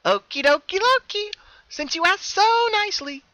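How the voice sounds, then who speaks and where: Casting: hyper-cheerful girl cartoon pony, very high and squeaky, loud, bright, giggly, sing-song. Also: expressive, moderate pace, dry recording, exaggerated voice. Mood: bubbly, gleeful. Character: relentlessly cheerful, bubbly, bouncy pink female cartoon pony.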